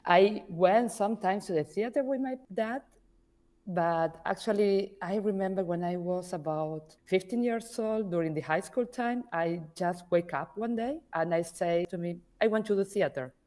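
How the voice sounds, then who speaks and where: A woman's voice: mid-range voice, normal speed, darkish tone. A woman from Argentina, whose first language is Spanish, speaking hesitantly as a podcast guest. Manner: hesitant, searching for words in English. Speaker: podcast guest, artist manager. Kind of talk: conversational interview.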